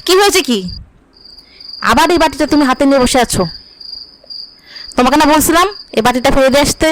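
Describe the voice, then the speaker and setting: A woman playing a nagging, sharp-tongued village wife in a cartoon, scolding and complaining. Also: high voice, a little fast, slight background noise, close to an everyday voice, low-quality recording. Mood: annoyed, scolding.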